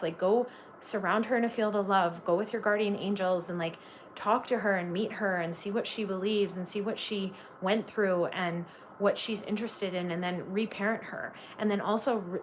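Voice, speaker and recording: A woman's voice: medium pitch, steady tone, normal speed, a little hiss or hum. Chatty Canadian woman reading tarot. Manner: chatty, gushing, with frequent "like" fillers. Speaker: tarot reader. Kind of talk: live tarot reading stream.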